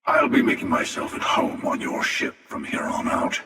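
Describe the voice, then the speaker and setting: An actor playing a cynical, menacing, world-weary interdimensional hunter in a video game, with a gravelly, sardonic delivery. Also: male voice, pushed and bright, soft background music, lightly acted. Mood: cool, threatening. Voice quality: gravelly, creaky. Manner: slow, sardonic, taunting. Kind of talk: video game character voice lines. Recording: cavernous reverb, distant-sounding voice.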